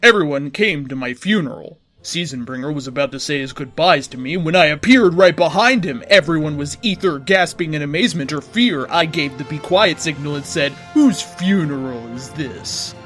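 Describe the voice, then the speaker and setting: A man with a slightly high voice reads a story aloud in a dramatic narrator's style. His delivery is deliberate and theatrical, and faint music plays behind him. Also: lively intonation, a bit bright. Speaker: host reading fan fiction aloud. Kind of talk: dramatic scripted story reading.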